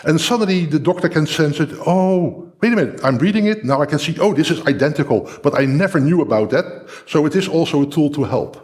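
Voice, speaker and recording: A man speaking English with a Dutch accent, his voice sitting a little high for a man. He talks things through in an easy conversational way, building his points with everyday examples and filling pauses with "uh". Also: animated, darkish tone. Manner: thoughtful, explains with examples, frequent fillers. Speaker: cybersecurity researcher guest. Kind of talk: conversational discussion.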